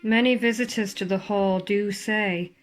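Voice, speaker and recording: A woman narrates a ghost story in a theatrical, suspenseful manner, with deliberate pauses and formal, old-fashioned wording. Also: medium pitch, fairly even intonation. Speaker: ghost walk guide and storyteller. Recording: clear background ambience under the voice. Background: spooky horror ambience sounds.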